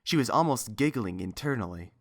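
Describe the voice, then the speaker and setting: Male narrator, scripted storytelling, highly varied intonation, medium pitch. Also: lively intonation, fairly quick, creaky.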